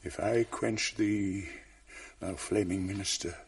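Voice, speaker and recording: An actor playing a tormented, jealous general in a classic verse radio drama, husky and brooding, shifting from hushed whispers to outbursts. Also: male voice, deep voice, steady tone, slow pace, heavily acted. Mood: brooding, tender.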